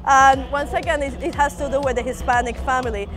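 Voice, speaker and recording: A woman's voice: high voice, steady tone, fairly quick. A woman, a program manager explaining her work in an interview. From Latin America. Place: outdoors at a racetrack. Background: music.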